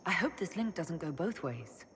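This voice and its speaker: A woman playing a brisk, pragmatic adventurer leader in a fantasy video game, direct and wry. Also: lowish voice, sing-song, fairly bright tone, a little hiss or hum. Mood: serious, probing. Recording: reverberant hall, music behind. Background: music.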